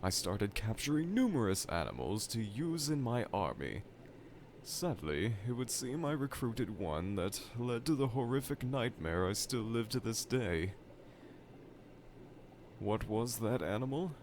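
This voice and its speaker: A man's voice: on the low side, very animated, unhurried, a little mellow. A man playing a dejected, pompous evil scientist villain from a cartoonish game, delivering a theatrical, self-pitying roleplay monologue to the listener. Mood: wry, then bitter. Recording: clear background laboratory ambience noise.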